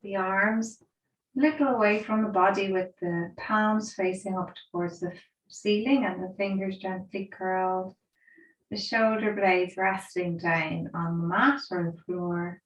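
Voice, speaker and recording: A woman yoga instructor leading a guided relaxation in a soothing, gentle, unhurried way with pauses. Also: mid-range voice. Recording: roomy echo, distant microphone.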